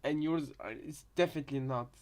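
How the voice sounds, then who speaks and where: A man casually commenting on game voice lines as a co-host, rambling and circling back over his words in a halting way. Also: a little high, darkish tone. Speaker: reaction video co-host.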